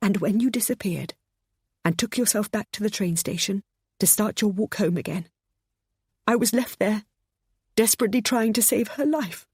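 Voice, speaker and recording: A woman's voice: mid-range voice, normal speed, slightly rough, no room sound, clean studio sound, pitched above the performer's own voice, lightly acted. A woman voicing a desperate older mother who sobs and pleads as her voice breaks. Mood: anguished. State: sobbing.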